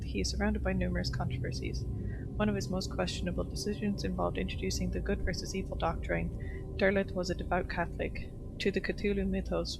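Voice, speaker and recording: A woman's voice: on the low side, rough recording. A woman streaming a game, casually commenting and reading its text aloud. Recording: clear game music behind the voice. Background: game music.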